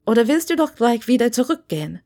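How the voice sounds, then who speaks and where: A woman's voice: on the high side, very animated, fast, dark tone. A woman reader puts on a friendly witch for an audiobook tale. She is blunt and sure of herself, speaking frankly and matter-of-factly with a mischievous, teasing edge. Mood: breezy, teasing. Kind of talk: audiobook character dialogue.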